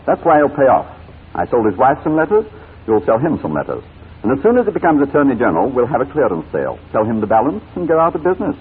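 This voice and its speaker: A man's voice: medium pitch, wildly swooping pitch, quick pace. A man playing a smug, cynical blackmailer in 1940s radio drama, slick and commanding. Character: smug, cynical male blackmailer, coolly bossy. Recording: tinny, noisy 1940s radio recording.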